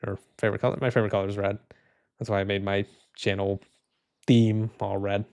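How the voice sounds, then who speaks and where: Laid-back low-voiced male streamer. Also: vocal fry. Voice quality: low, even, unhurried voice. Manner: laid-back, rambling, many fillers and restarts. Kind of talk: casual livestream chat reading comments.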